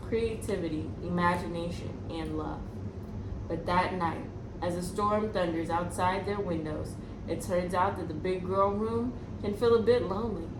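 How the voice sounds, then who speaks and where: A woman hosting a children's read-aloud at home, friendly and chatty as she reads a picture book. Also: mid-range voice. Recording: echoey room, distant mic, background noise.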